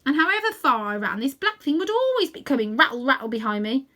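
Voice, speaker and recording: A woman narrator puts on a male talking horse from a children's storybook. He is muddled and thoughtful, repeating himself as he gropes along, and his high voice rises and falls in lively swoops. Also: fast, heavily acted. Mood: slowly remembering. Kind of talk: children's storybook character reading.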